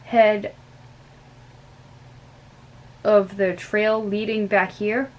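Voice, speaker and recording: A woman's voice: mid-range voice, little pitch movement, takes it slowly, lo-fi sound, close to an everyday voice. A young woman reading aloud and voicing an earnest, anxious boy in a slightly raised, eager voice.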